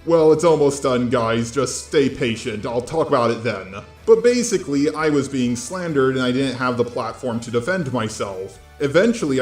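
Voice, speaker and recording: A man's voice: mid-range voice, a little mellow. A man delivering scripted online commentary in a blunt, informal way, speaking straight to his viewers. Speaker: host of online drama commentary videos. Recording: roomy echo with music underneath.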